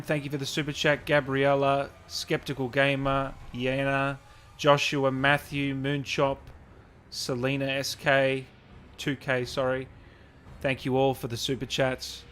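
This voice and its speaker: A man's voice: mid-range voice, a bit bright. A man hosting a casual live stream, reading out comments and thanks in an enthusiastic, matey, informal way.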